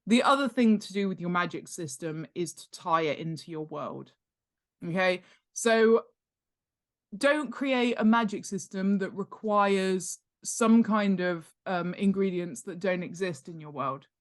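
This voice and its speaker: A woman speaks as an expert guest in a casual, instructional interview. Her pace is very measured and her pitch sits in the middle. She is emphatic and informal, restating key points and tossing in rhetorical questions. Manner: animated, emphatic, repeats key points for stress; informal, uses rhetorical questions and acted-out examples. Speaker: podcast guest, author and world-building expert.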